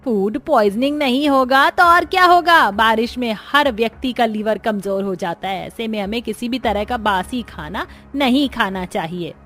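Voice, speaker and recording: Woman acting nagging middle-aged mother-in-law, high voice. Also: moderate pace, hardly any pauses, fairly bright tone, lightly acted. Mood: scolding. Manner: nagging, complaining. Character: scolding middle-aged mother-in-law, exasperated gossipy housewife. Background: background music.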